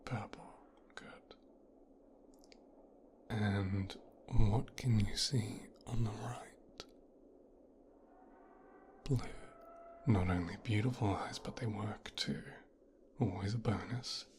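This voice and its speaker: A man playing a mafia boss's son in an ASMR roleplay, softly and slowly examining his captive with gentle, clinical condescension in a breathy, whispery voice. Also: lowish voice, steady tone, a little hiss or hum. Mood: possessive, gentle.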